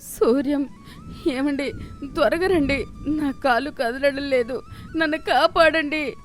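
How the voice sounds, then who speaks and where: Casting: a woman playing a frightened older village mother-in-law, high, loud, tearful pleas for a cartoon tale. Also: slow, soft background music. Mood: tearful pleading. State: crying, panicked. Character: proud older mother-in-law, crying out trapped.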